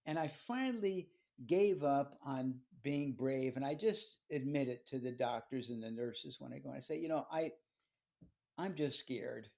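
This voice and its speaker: A man co-hosting a read-along, talking casually and reflectively, often pausing mid-phrase. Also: mid-range voice, creaky, a little mellow. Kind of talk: casual discussion with personal reflection.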